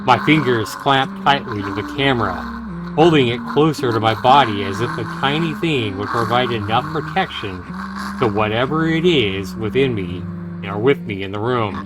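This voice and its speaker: A man live-streaming a visual novel, reading it aloud in a dramatic, deliberate way with casual commentary. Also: mid-range voice, poor audio. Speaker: game streamer.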